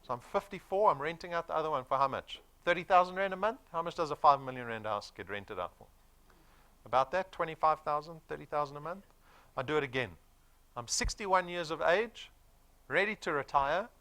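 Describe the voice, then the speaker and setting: A South African man around forty delivers a motivational sales presentation. His pitch swings widely and his tone is bright and punchy. He is emphatic and persuasive, often checking in with rhetorical questions. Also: lively intonation, a little fast. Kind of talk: motivational business presentation to an audience.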